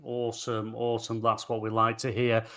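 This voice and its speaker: Bright-voiced joking male podcast host. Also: medium pitch, completely flat delivery, moderate pace. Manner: jokey, theatrical introductions. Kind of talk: casual podcast chat.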